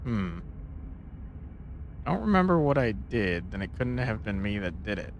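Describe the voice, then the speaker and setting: A man's voice: on the low side, very animated, moderate pace, a little hiss or hum. A man giving laid-back, casual playthrough commentary as a game streamer, with joking asides.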